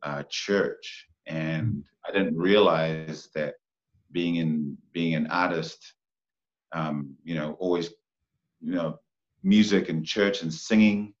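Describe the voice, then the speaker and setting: Man, New Zealand accent, low voice, halting casual talk, muffled lo-fi recording. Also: steady tone. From New Zealand, Samoan-born. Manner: halting, many fillers and restarts. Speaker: guest, film actor. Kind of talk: casual interview chat.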